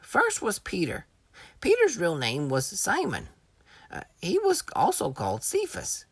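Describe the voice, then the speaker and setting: A woman narrating a scripted Bible lesson for children, teaching point by point with expressive storytelling. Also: medium pitch, measured pace, husky, no room sound.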